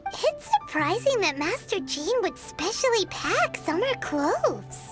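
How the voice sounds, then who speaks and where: A tiny childlike fairy sidekick from a video game. The voice is extremely high and very animated, perky and blunt. Loud music plays behind it. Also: female voice, takes it slowly, heavily acted. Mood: teasing. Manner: refers to self in third person, exclaiming.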